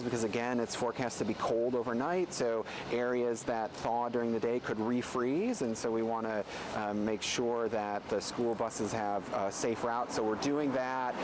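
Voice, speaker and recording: Man answering over street noise. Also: mid-range voice. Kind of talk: halting interview answer. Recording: clear outdoor city noise.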